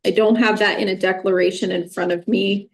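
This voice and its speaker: An American woman speaking as a judge in court, formal, measured and firm as she addresses a party. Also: mid-range voice, little pitch movement. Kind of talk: unscripted courtroom ruling.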